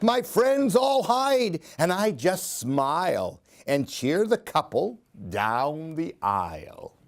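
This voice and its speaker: A male storyteller reads for children in a high voice that swings widely in pitch. He takes his time, giving the rhymes a theatrical, singsong lilt. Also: big pitch swings, slow. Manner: theatrical, singsong rhyming delivery. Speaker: storyteller reading aloud. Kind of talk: expressive children's story reading.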